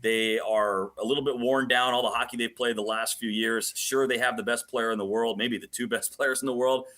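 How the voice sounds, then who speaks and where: A man talks sports as a broadcast guest in a bright voice of medium pitch. He is emphatic and often repeats a phrase to drive it home. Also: steady tone, fairly quick. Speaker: hockey play-by-play broadcaster as studio guest. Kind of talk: animated sports talk, analysis.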